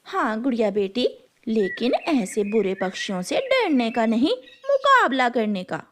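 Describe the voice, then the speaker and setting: A woman's voice: on the high side, sing-song, a little slow, a bit bright. A woman voicing a protective, encouraging mother crow in an Urdu cartoon, motherly and emphatic.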